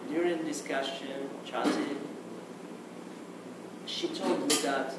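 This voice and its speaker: A man's voice: on the high side, fairly even intonation, slow pace. Moroccan man in an echoey hall. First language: Arabic. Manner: hesitant, many restarts and "okay" fillers. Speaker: conference speaker. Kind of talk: halting conference talk. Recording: echoey hall, mic far off, noisy.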